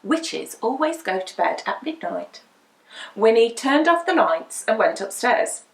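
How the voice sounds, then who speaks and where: A woman reading a children's picture book aloud as a storyteller, with dramatic, deliberate emphasis. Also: fairly high pitch, big pitch swings, creaky. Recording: roomy indoor echo.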